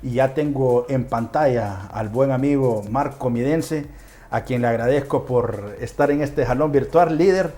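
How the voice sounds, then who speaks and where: A man's voice: medium pitch, measured pace, mellow. A man with a Honduran accent hosting a casual interview on a remote video call. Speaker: talk show host.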